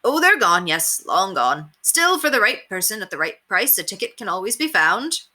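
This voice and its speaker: A woman's voice: mid-range voice, sing-song, moderate pace, pushed and bright. A woman voicing a small, wiry, brash male street tout with clipped, cheeky, colloquial salesman patter in a storybook reading. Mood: sly.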